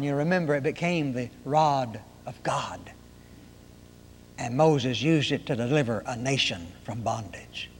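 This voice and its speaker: A man from Oklahoma with a high-pitched nasal voice, preaching a sermon in folksy storytelling fashion. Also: in a large hall. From Oklahoma, raised in Arkansas.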